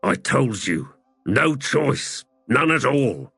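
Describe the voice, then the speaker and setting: Guy playing a pushy scrappy soldier, blunt and worked up, voice jumps high, fantasy story. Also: sing-song, takes it slowly, pushed and bright, husky, dry recording, faint music behind, full character voice. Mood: stubborn. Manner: blunt, insistent. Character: stubborn, scrappy male army sapper, insistent and impassioned.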